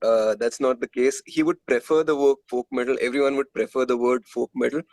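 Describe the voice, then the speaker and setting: A man's voice: medium pitch, fairly even intonation, fairly quick, darkish tone, no room sound. A man with an Indian accent answering interview questions as a musician, candid and conversational, often repeating words as he thinks. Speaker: musician guest, guitarist and composer in a metal band.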